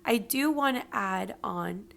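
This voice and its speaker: A woman hosting her own show, talking through grief and pain in a hoarse, raspy voice, earnest and encouraging, sometimes dropping to a whisper for emphasis. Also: medium pitch, animated, slow pace, a bit bright. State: hoarse from yelling. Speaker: podcast host. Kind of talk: personal reflective monologue.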